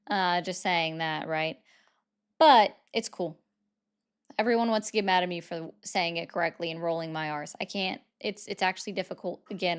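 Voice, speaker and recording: Chatty woman livestream host, smooth voice. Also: mid-range voice. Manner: chatty, reads viewer gifts aloud. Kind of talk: casual livestream commentary.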